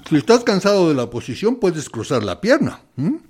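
Smug military interrogator, radio drama, very animated pitch, deliberate pauses, condescending, quietly menacing. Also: male voice, slightly rough. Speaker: actor in a radio play. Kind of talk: theatrical radio drama monologue.

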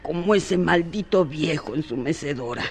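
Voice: medium pitch, lively intonation, moderate pace, vocal fry. An actor in a poetic radio drama playing a bitter, tormented person with an intense, incantatory delivery. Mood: brooding, bitter.

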